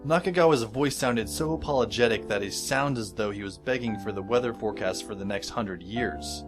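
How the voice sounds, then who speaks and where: An actor playing a dry, sardonic teenage schoolboy who narrates an audio drama in the first person. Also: male voice, on the low side, fairly quick, natural voice. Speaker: narrator and lead character. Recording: music under the voice.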